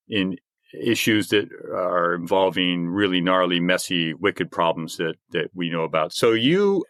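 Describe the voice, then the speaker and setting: A man hosting a podcast, asking his guest curious questions whose pitch rises as he poses them. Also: fairly bright tone, dry recording, studio quality. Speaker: podcast host.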